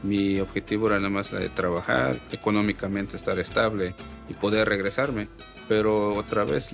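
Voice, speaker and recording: Low gravelly man, music behind. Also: a bit bright. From Oaxaca, Mexico. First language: Mixe. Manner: reflective, with frequent "este" fillers. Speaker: artist. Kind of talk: interview answers.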